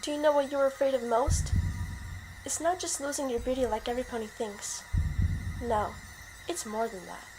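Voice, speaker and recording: Casting: woman as vindictive little pony filly, high voice, icy and taunting, fan-fiction audio drama. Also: normal speed, long pauses, music in the background, lightly acted, low-quality recording. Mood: cold, condescending. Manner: taunting, coldly lecturing. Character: cruel young filly pony, coldly vengeful little sister.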